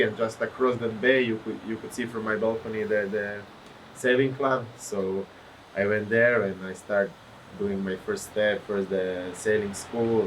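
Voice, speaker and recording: A man from Croatia with a Croatian accent, a professional windsurfer telling his story as a podcast guest, animated and searching for words as he goes. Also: lowish voice, slight background noise, low-quality recording. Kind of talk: casual podcast interview.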